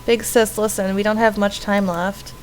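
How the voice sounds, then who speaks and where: A woman's voice: mid-range voice, flat delivery, moderate pace, lightly acted. A woman voicing an earnest, pleading and distrustful young girl in a horror visual novel. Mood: pleading, insistent. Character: pleading, distrustful young girl, little sister trapped in painting. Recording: faint background noise.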